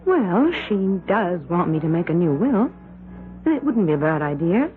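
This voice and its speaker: An actress playing a breezy, trusting, affectionate wife in a radio drama, speaking in a chatty, emphatic way. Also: female voice, fairly low pitch, very animated, normal speed. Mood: firm, cheerful. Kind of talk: 1970s radio drama acting. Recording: tinny, narrow-band old radio broadcast.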